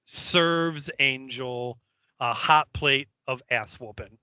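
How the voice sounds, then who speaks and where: A man co-hosting a podcast, giving a casual episode recap in a halting, hesitant, foul-mouthed way. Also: mid-range voice, bright, forceful tone, smooth, no echo. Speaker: podcast co-host.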